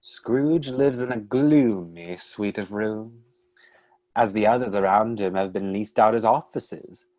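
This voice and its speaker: A man's voice: on the low side, takes it slowly, a little mellow, no echo. A man voicing an exasperated male author who narrates his own story in a radio play. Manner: exasperated, sighing.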